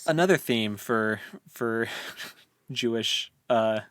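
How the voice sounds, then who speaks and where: A man's voice: medium pitch, slow pace, a bit bright, smooth, no room sound. A man co-hosting a book discussion in a casual chat style, thinking aloud in a hesitant way. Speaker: podcast co-host.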